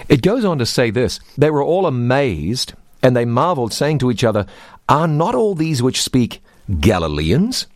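A man's voice: mid-range voice, big pitch swings, moderate pace, no echo, clean studio sound. A man teaching the Bible, reading scripture aloud and quizzing his co-host.

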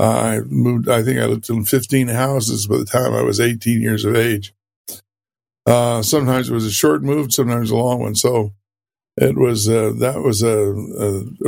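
Gravelly older man, halting. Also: medium pitch, darkish tone. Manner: measured, hesitant with many fillers. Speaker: guest. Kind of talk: conversational interview answers.

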